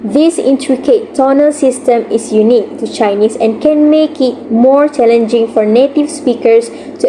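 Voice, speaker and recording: A woman student reads out a prepared presentation in a steady, scripted way, tripping over a word now and then. Her voice sits a touch high. A clear hum of noise runs behind her. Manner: steady scripted delivery with occasional slips. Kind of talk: reading a class presentation.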